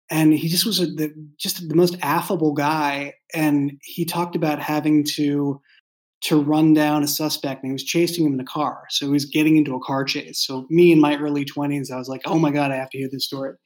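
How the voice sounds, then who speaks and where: A man speaking casually in an interview as a writer, thoughtful and halting, often repeating words as he goes. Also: fairly high pitch, fairly even intonation, darkish tone. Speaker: writer and creator of an audio drama. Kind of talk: casual behind-the-scenes interview.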